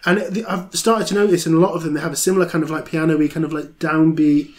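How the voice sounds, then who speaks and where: A man's voice: fairly high pitch, steady tone, fast. A man in his thirties, a stand-up comedian talking casually and thoughtfully, with many fillers and restarts. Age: 30s. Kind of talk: casual podcast conversation.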